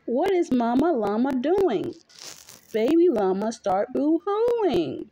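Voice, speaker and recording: A woman's voice: high-pitched, dark tone, slight background noise, poor audio. A mother reading a picture book aloud to her young child in a playful, sing-song way, chatting with the child as she goes. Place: small dim bedroom at home.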